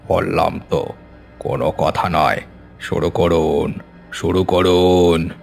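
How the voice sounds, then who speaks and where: A man performs a menacing male attendant from a horror story, deep and gravelly. He gives orders with ominous courtesy, dragging out his words at a very slow pace. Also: level tone, darkish tone, soft background music, exaggerated voice. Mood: stern, commanding. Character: sinister, commanding male attendant of an eerie gathering. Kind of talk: horror audio story acting.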